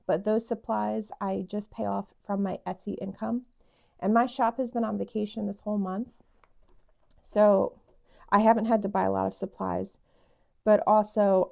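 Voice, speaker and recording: A young woman vlogging casually about her budget, her voice raspy since she just woke up, chatty and rambling with fillers. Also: medium pitch, dark tone. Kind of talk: casual chat to camera.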